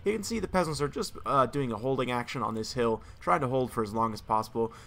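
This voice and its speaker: A man with a slightly hoarse, strained voice casually commentating a strategy game battle, talking steadily with frequent "uh" and restarts. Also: mid-range voice, fast, fairly bright tone. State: slightly hoarse, losing his voice.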